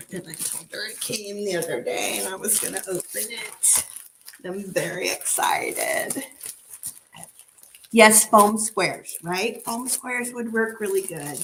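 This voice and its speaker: A woman chats through a live craft session from her dining room table with a slightly froggy, hoarse throat. She rambles, thinking aloud, full of restarts and little fillers, at an ordinary mid pitch. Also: a bit bright, slight background noise, poor audio. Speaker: craft channel host. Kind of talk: casual live-stream craft chat.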